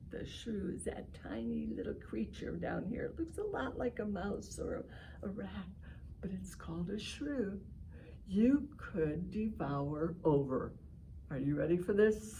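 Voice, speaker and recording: A woman's voice: medium pitch, warm tone, a little hiss or hum. A woman reading a picture book aloud to children, with exaggerated emphasis, exclamations and simple asides to her young listeners. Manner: explains simply, addressing young listeners directly. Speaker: reading session leader for children.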